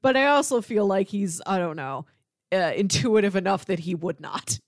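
A woman's voice: mid-range voice, animated, moderate pace, no echo. Chatty woman in a dry, close recording. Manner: chatty, analytical, frequent "like". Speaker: podcast co-host reviewing films. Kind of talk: casual film discussion.

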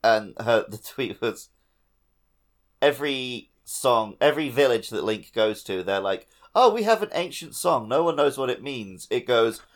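A man's voice: mid-range voice, animated, measured pace. A man co-hosting a podcast, chatting casually about a video game with wry, joking asides. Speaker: podcast co-host.

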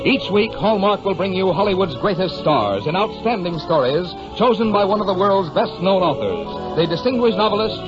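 A man's voice: high-pitched, sing-song, moderate pace, few pauses. A man announcing in a polished, declamatory 1940s radio style from a script. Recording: muffled old radio broadcast. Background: prominent music.

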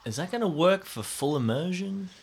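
A man's voice: sits high, lively intonation, normal speed, slightly rough. A man chatting casually as a player in an improvised role-playing game, with a dry, wry manner. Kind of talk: casual tabletop role-play chat. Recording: faint background noise and ambience.

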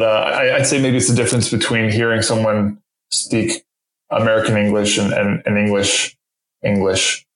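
A man whose first language is French answers interview questions in a low voice. His talk is halting and full of fillers and restarts. The recording carries a roomy echo. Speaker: interview guest. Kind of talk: casual interview conversation.

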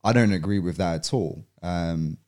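A young man from Poland, a podcast guest, talking in a relaxed way and often pausing with "um" and "you know". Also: low voice. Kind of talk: casual podcast conversation.

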